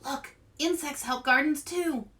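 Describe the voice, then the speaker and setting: A woman voicing an eager, curious, bookish young girl in a storybook, with excited, inquisitive delivery. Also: sits high, measured pace, distant mic, pitched above the performer's own voice.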